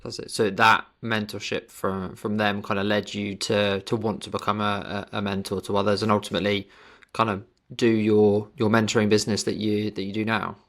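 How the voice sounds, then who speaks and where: A man's voice: on the low side, level tone, a little fast, fairly bright tone. A man hosting a leadership interview, asking long, loosely worded questions with frequent restarts. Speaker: podcast host.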